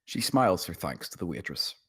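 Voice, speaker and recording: A man with a husky voice reading screenplay stage directions as scripted narration. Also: sits low, very animated, brisk, fairly bright tone. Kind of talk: scripted narration at table read.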